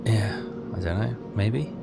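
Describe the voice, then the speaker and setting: Low-voiced man playing through a game. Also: unhurried, creaky. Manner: hesitant, thinking aloud. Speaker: game walkthrough player. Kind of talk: casual game commentary, reading text aloud. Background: loud game music.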